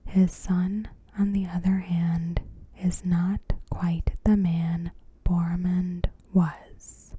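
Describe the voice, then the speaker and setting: A woman whispering softly in an ASMR style, reading scripted commentary in a hushed, unhurried, breathy voice. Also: on the low side, flat delivery, lo-fi sound. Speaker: ASMR host.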